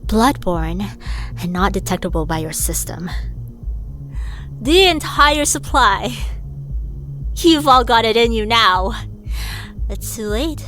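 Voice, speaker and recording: A tough rebel leader played by a woman speaks in terse, defiant phrases. The voice is on the high side, with faint music behind, in audio drama style. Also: lively intonation, slow, long pauses, fairly bright tone.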